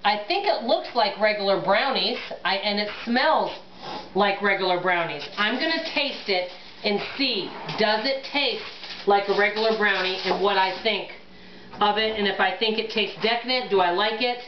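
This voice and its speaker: A middle-aged woman talks her viewers through a recipe in a relaxed, chatty way, thinking aloud as she works. Her voice bounces around a hard-surfaced home kitchen, picked up by a camera mic a few feet away, with slightly muffled, dull sound. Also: mid-range voice, normal speed, reverberant, noisy background. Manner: chatty, addresses viewers as "guys" and "y'all", thinks aloud while working. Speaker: cooking vlogger. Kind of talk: casual cook-along chat to camera. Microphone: camera mic from across the counter.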